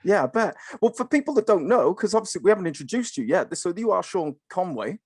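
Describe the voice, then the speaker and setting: A man hosting a podcast, his voice sitting a little high for a man. The sound is dry and close, with no room around it. He is chatty and eager, tripping over his own questions as he goes. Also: sing-song, fast, a little mellow, breathy edge, no echo. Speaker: podcast host. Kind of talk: casual podcast interview.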